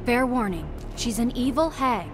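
A troubled young woman in a video game, played by an actress, with a fairly high voice. She sounds earnest and cautioning. Loud music plays underneath. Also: animated, moderate pace, close to an everyday voice. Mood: warning. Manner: earnest, warning. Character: troubled young woman struggling with the demon inside.

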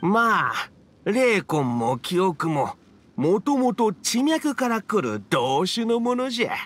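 A man's voice: sits high, sing-song, slow pace, a bit bright, slight background noise, exaggerated voice. An actor playing an ancient, mischievous spirit in an anime game, hamming up a villain with archaic old-man speech. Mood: wry, teasing. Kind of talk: Japanese anime game voice acting.

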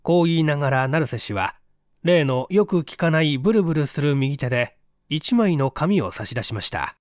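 A man's voice: fairly high pitch, lively intonation, normal speed, no room sound, studio quality. A man reading a mystery story aloud as a scripted narration. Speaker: narrator, audiobook reader.

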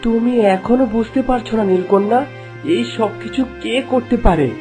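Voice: medium pitch, moderate pace, music in the background, lightly acted. An actor voicing a protective, resolute young prince in a cartoon fairy tale, earnest and reassuring.

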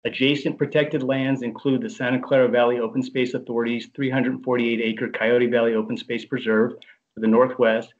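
A man on staff presenting a project briefing at a board meeting, reading formally from a script over a video call. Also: mid-range voice. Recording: dull lo-fi video call audio.

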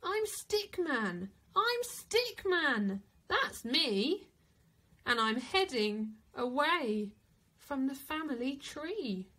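An indignant little stick creature from a storybook, voiced by a woman. He is proud and homesick, protesting in high, swooping, drawn-out declarations. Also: big pitch swings, pushed and bright, heavily acted.

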